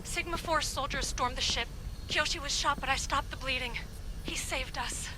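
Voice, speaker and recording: A woman's voice: high voice, normal speed, background noise, natural voice. A woman playing an urgent, worried teammate on comms in a video game.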